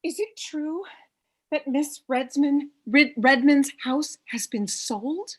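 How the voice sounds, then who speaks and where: Housewife and mother in a satirical play, woman reading: inquisitive, uneasy, lightly high-pitched. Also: slow pace. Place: video call.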